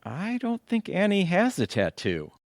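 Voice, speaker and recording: An actor playing a wry, sarcastic suburban husband in an audio comedy. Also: male voice, sits high, wildly swooping pitch, measured pace, faint music behind, exaggerated voice. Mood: exasperated. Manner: dry, sarcastic. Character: Richard, wry suburban husband, sardonic and exasperated. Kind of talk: audio comedy drama acting.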